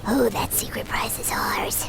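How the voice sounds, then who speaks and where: A woman's voice: unhurried, whispered, close to an everyday voice. A woman voicing a small, bubbly, non-human female companion in a game who talks about herself in the third person. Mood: excited. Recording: game music behind.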